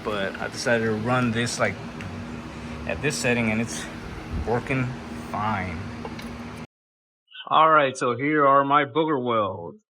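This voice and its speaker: Male DIY host, mid-pitched, laid-back and profane, talking off the cuff over noticeable garage noise. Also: slow pace, pauses often. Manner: laid-back, sweary, plain-spoken. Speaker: host of a DIY car build channel. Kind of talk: casual unscripted build walkthrough. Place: garage workshop.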